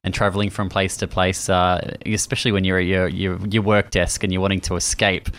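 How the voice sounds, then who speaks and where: A man's voice: deep voice, flat delivery, fairly bright tone, clear, resonant tone. An Australian man hosting a radio interview in a studio, chatty and jokey, with plenty of "uh" fillers. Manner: chatty, jokey, fills pauses with "uh".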